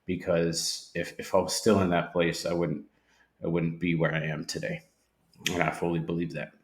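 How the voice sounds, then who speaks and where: A man sharing his recovery story as a podcast guest, sincere and reflective, with pauses and restarts. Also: low voice, level tone. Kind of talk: personal testimony. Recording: roomy echo.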